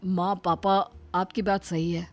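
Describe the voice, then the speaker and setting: A woman's voice: on the low side, level tone, unhurried, darkish tone, close to an everyday voice. A woman voicing an earnest young husband and dutiful son in a cartoon story, reasoning with his family.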